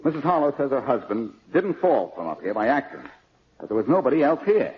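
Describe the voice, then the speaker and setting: An actor playing a quick-witted, breezy amateur detective in a 1940s radio drama. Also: male voice, mid-range voice, big pitch swings, natural voice. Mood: matter-of-fact. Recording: muffled, noisy old radio recording.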